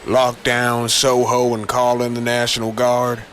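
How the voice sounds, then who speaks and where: Man playing a gruff, exasperated New police chief. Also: medium pitch, completely flat delivery, unhurried, fairly bright tone, a little hiss or hum, voice pushed up, natural voice. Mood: defensive, blunt. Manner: blunt, streetwise, exasperated. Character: gruff, weary, irritable male New York police chief. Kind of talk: noir audio drama dialogue.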